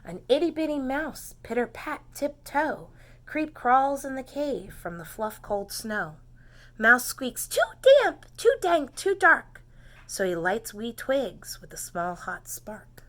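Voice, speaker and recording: A woman teacher reads a picture book aloud to preschool children at a very slow, patient pace. Her voice is bright and somewhat high, and she often sinks into soft whispers. She invites the listeners to read along with her.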